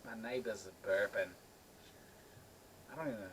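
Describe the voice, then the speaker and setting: Man, middle-aged, somewhat deep voice, casual chat, noticeably noisy recording. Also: normal speed. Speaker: video co-host.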